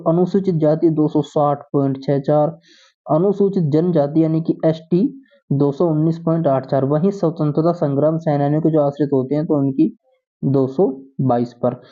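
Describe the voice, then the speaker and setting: A man's voice: fairly high pitch, dark tone. A man presenting an informal update, explaining and reading out notices to viewers he addresses as friends. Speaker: host of a job exam update channel. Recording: tinny, narrow phone-like sound.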